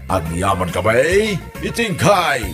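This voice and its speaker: A man's voice: mid-range voice, huge pitch swings, slow. A man with an Ilocano accent doing dramatic announcing as narrator and host of a drama podcast. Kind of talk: slow dramatic announcing with catchphrase. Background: music.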